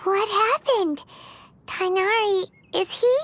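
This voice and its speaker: A woman voicing a tiny childlike fairy companion in a video game, squeaky and inquisitive. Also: slow, a bit bright, a little hiss or hum, exaggerated voice. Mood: worried. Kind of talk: video game character acting.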